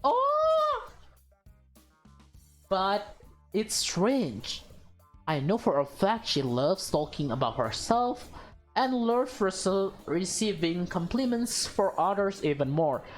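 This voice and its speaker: A woman's voice: medium pitch, big pitch swings, slow pace. A woman doing a casual let's-play of a visual novel, reading narration aloud and adding chatty, informal asides. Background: game music.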